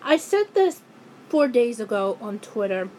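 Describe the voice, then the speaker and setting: A woman ranting angrily in a vlog, hoarse and losing her voice, often breaking off and restarting her sentences. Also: a little high, very animated, unhurried, warm tone, slight background noise, rough recording. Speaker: YouTuber.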